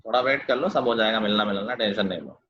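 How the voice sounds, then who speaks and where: A man's voice: fairly low pitch, quick pace, a bit bright, husky. A male teacher running an online math class and teasing his students. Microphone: video call. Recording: lo-fi video call.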